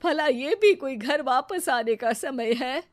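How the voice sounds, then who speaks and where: A woman's voice: sits high, lively intonation, slow pace, dry recording, pitched above the performer's own voice. A woman voicing an exasperated, scolding mother-in-law in a moral story, speaking reproachfully.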